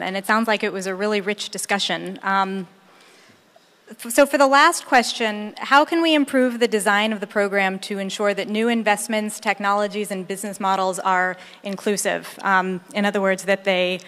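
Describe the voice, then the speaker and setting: Female facilitator, average pitch, moderating a discussion, long hall reverb. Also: fairly bright tone. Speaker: session facilitator.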